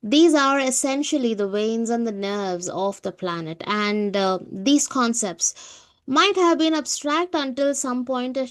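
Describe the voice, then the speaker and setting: A woman's voice: on the high side, measured pace, a bit bright. A woman co-hosting a conversational spiritual talk, explaining things and often checking in with a questioning "right?". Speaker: podcast co-host.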